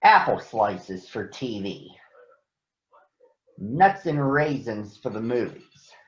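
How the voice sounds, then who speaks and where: A man reading a children's story aloud in a deliberate, expressive storytelling manner. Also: medium pitch. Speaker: storybook reader for children on a recurring show. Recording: echoey room, slightly dull sound.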